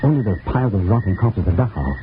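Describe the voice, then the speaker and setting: Actor as a haunted, brooding doctor on muffled old radio. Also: male voice, lowish voice, expressive, background noise, lightly acted. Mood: anguished. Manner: brooding, dramatic, halting phrases. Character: haunted, suspicious male doctor, grim and intense. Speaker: actor playing a ship's doctor. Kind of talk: 1950s radio drama acting. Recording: muffled vintage radio recording, loud music.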